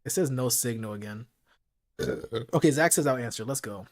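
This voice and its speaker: A man running a late-night live stream, talking casually and directly to his chat, with his voice gone hoarse from hours of talking. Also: medium pitch, normal speed. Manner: casual, chatty, talks straight to his chat.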